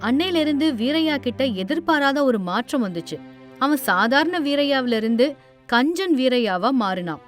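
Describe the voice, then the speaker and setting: A woman's voice: high voice, animated, moderate pace. A woman narrator reading a scripted moral story. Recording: music under the voice.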